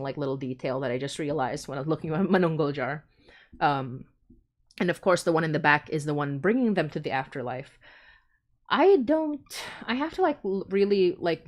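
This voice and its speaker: A woman from the Philippines hosting a livestream, chatting informally and thinking aloud as she explains things. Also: low-pitched. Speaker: podcast creator hosting a fundraising livestream. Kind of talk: casual rambling livestream explainer.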